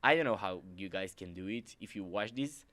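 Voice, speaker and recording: A young man from Romania, a native Romanian speaker, chatting casually as podcast host, animated and full of fillers. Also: low-pitched, no room sound. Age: teens. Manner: animated, filler-heavy, quick laughs. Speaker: podcast host, exchange student. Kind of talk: casual chat.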